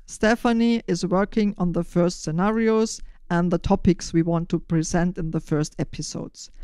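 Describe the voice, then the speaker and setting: Older German-accented woman, clean smooth voice. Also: mid-range voice, mellow, no room sound, clean studio sound. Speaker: podcast host and initiator. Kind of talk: prepared, scripted-sounding podcast interview answers.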